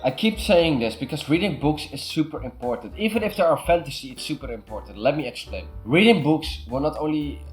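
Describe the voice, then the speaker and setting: A man's voice: a little high, animated, brisk, hardly any pauses, faint music behind. A young man from the Netherlands with a Dutch accent, earnestly giving casual self-improvement advice to camera. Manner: earnest, repeats "super" and "way better".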